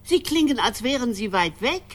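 A woman's voice: on the high side, big pitch swings, moderate pace. An actress playing a genteel, scatty elderly mother in a radio drama, gushing and theatrical. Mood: scatty, gracious. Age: older. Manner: gushing, calls everyone darling. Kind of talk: German radio drama dialogue.

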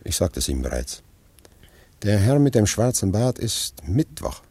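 An actor playing a grave, discreet anarchist secretary in a radio play, speaking in a hushed, dry, measured manner. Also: male voice, lowish voice, big pitch swings, breathy edge, dry recording, exaggerated voice. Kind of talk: German radio play acting.

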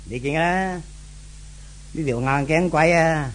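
A man's voice: a little high, slow, noisy background. A man acting in a 1970s Hong Kong Cantonese radio drama as a cruel, menacing brothel boss, with a sneering, theatrical delivery full of grunts and questioning barks. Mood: angry scheming.

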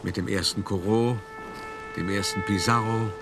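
A man's voice: fairly low pitch, fairly even intonation, moderate pace, creaky, over music. An actor playing a shrewd, wry art dealer who tells his own story in a radio play, in an articulate and emphatic storytelling manner. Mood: wry, amused. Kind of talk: first-person radio play narration.

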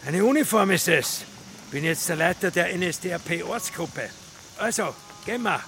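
An actor playing a fawning, menacing rural caretaker and local Nazi official in a radio drama, with an Austrian accent and an effusive, obsequious manner. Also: male voice, high-pitched, expressive, normal speed, creaky, a bit bright, soft background music, exaggerated voice. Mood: proud, menacing. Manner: obsequious, effusive, with sneering undertone.

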